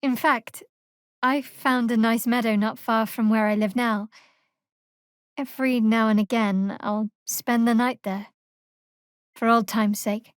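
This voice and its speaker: A woman voicing a guarded, wry young female cleric of a dark goddess in a video game, speaking in a measured, dry way on a close mic. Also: fairly high pitch, fairly even intonation, no echo, lightly acted. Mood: reflective. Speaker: fantasy adventurer companion. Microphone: close, dry studio mic.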